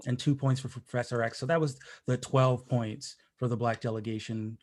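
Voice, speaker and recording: A male podcast host who jokes his way through a casual chat, often restarting his sentences as he rambles on. His voice sits at a middling pitch. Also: fairly even intonation, moderate pace, a little mellow. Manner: rambling, joking, many restarts.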